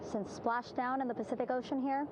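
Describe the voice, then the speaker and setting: A woman's voice: high voice, fairly even intonation, a little fast. A woman giving live event commentary from aboard a ship at sea. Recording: loud vehicle-like ambient noise.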